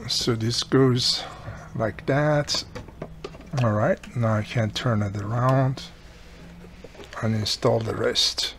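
A man at his workbench walks the viewer through a repair, patient and methodical, his phrasing a little non-native. His voice sits at a middle pitch. A faint hum runs under the overhead mic. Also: steady tone, slow pace, gravelly, long pauses. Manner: patient, step-by-step explaining. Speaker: radio repair technician. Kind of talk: hands-on repair tutorial. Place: workbench, indoors.

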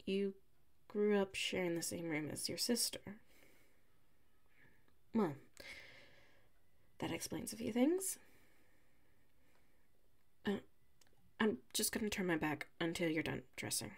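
Woman acting a slow-talking, flustered tsundere classmate. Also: mid-range voice, sing-song, vocal fry, exaggerated voice. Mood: flustered, defensive. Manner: halting, huffy, defensive, slips into muttered whispers. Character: flustered, defensive tsundere female classmate with a crush. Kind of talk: ASMR audio roleplay.

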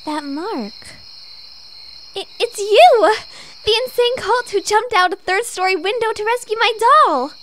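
A woman voicing an earnest, anxious young pony princess in an animated comic dub, with emotional delivery. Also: extremely high pitch, a little slow, heavily acted. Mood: astonished, excited.

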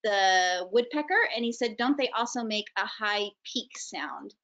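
A woman's voice: medium pitch, lively intonation, measured pace, a bit bright. Woman hosting over slightly muffled video call. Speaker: host relaying audience questions. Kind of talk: casual hosting chat. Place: indoor room on a video call. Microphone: computer video call. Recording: dull, lo-fi video call audio.

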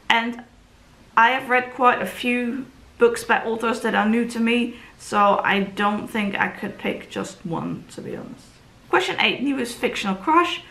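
A woman in her thirties chatting casually to camera as a book vlogger, somewhat halting, with sentences that trail off. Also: fairly high pitch, creaky, a bit bright. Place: plant-filled glassed patio or garden room. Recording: roomy, echoey sound.

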